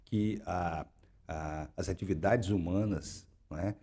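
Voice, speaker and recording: Man, 60s, interview guest, dark husky tone, rambles with many restarts. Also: low-pitched, a little slow. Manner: rambling, frequent "né?" tags and restarts. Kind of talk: conversational interview.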